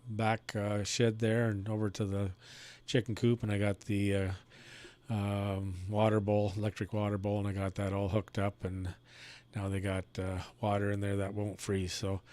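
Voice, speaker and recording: A man with a fairly low voice talks casually over amateur radio. His delivery is folksy and unhurried, like an operator passing time with a friend on the air. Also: completely flat delivery, darkish tone.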